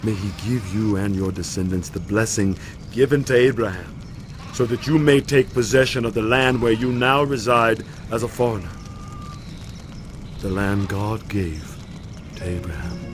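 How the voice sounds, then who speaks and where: An actor playing an aged, commanding patriarch father, grave and deliberate, in a Bible audio drama. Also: on the low side, lightly acted. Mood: solemn blessing. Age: older. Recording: noisy ambience behind voice.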